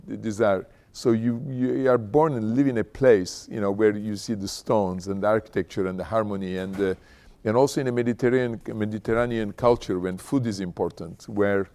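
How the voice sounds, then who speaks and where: Middle-aged male guest speaker, lowish voice, conversational and halting delivery. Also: darkish tone. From Istanbul, Turkey. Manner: conversational, halting, repeats "you know". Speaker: company founder, guest speaker. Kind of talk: informal autobiographical talk to an audience.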